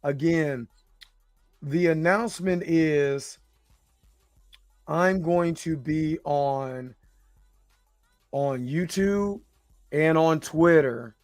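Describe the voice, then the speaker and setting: A man with a slightly hoarse voice hosting a livestream, talking casually in an informal, rambling, hesitant way. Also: fairly high pitch, drawn-out words, long pauses, dry recording, soft background music. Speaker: host of a livestream reaction show.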